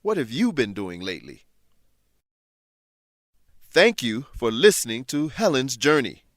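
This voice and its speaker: A man hosting and narrating a scripted language lesson, explaining things in a clear, measured teacher's manner. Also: medium pitch, sing-song, a bit bright, no echo, studio quality. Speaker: host and English teacher.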